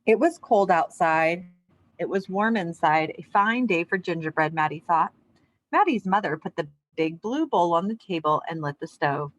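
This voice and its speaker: A woman speaking as an elementary teacher to young children, in simple, clear wording suited to a lesson or storybook read-aloud. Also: lowish voice, lively intonation, normal speed, poor audio. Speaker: elementary physical education teacher.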